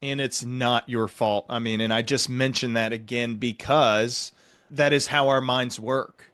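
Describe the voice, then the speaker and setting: A man hosting a reflective interview, explaining things in a measured, reassuring way. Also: mid-range voice, steady tone, fairly bright tone, no room sound. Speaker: interview host and psychiatric clinician.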